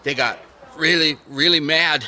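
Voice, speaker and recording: A man playing a simple, wounded, childlike character with a grudge, halting and plaintive, in a radio mystery. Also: a little high, pushed and bright. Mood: halting, hurt. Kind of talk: radio mystery drama acting.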